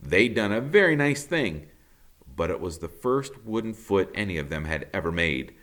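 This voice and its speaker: A man reading a children's storybook aloud as expressive narration, like a teacher to pupils. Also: deep voice, moderate pace.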